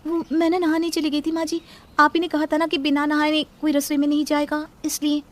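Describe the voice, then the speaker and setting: A woman playing a sweet, meek young daughter-in-law who complains gently in a cartoon story. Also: sits high, monotone, a little fast, natural voice. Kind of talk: animated cartoon story acting.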